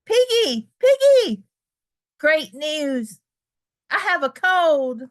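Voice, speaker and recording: A woman voicing an anxious, dramatic male elephant in a children's picture book, in a halting, exclaiming way. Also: sits high, sing-song, pitched above the performer's own voice, exaggerated voice. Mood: excited relief. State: sneezing, acted.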